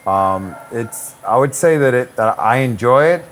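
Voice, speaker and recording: A man, a real estate broker answering interview questions in a relaxed, reflective way with many restarts. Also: mid-range voice, a little slow, slight background noise. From Puerto Rico. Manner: easygoing, reflective, frequent restarts and "um".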